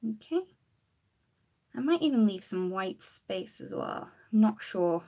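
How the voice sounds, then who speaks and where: A woman, her voice a bit croaky, demonstrating coloring with markers in a chatty live-stream lesson. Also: mid-range voice, expressive, unhurried, dark tone. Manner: chatty, talks while working, greets viewers. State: slightly croaky voice.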